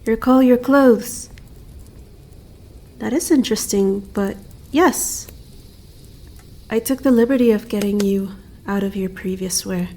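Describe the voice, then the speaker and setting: A woman voicing a calm, kindly winter spirit in roleplay ASMR, speaking softly in formal, old-fashioned phrasing. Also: medium pitch. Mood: calm, reassuring. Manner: formal, archaic, measured phrasing. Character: calm, kindly female winter spirit guarding her snowy mountain land.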